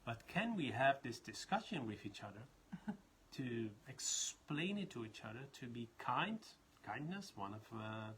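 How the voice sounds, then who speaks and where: Dutch trainer, bright forward voice, faint background noise, chatty live session. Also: male voice, medium pitch, very animated, measured pace, low-quality recording. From Netherlands. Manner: rambling, many restarts and laughs. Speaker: leadership trainer. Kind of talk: casual live talk.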